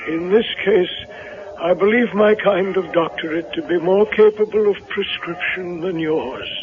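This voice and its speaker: On a tinny old radio recording with music behind, a solicitous reverend. His voice sits high for a man. He speaks with formal, pious consolation. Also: measured pace. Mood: solemn consoling. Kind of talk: 1970s radio drama acting.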